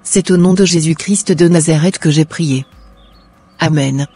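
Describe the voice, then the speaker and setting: A man voicing a devoted, pious young wife who speaks softly and prays for her husband. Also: a little high, a little slow, slightly rough, soft background music. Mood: prayerful. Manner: soft, pleading, prayerful.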